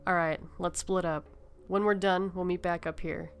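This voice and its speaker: A woman's voice: on the low side, fairly even intonation, moderate pace, clean tone, natural voice. A woman playing a brash, teasing young survivor in an amateur audio horror story, with a blunt, sarcastic manner. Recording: music behind the voice.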